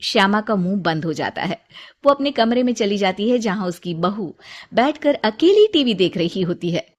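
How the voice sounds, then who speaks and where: A woman's voice: mid-range voice, sing-song, normal speed. A woman reading scripted narration for a cartoon moral story.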